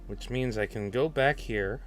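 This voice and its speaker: A man chatting casually on a live work stream, animated and self-deprecating, talking to himself as he works. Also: mid-range voice, measured pace, faint music behind. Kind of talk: casual work stream chat while video editing.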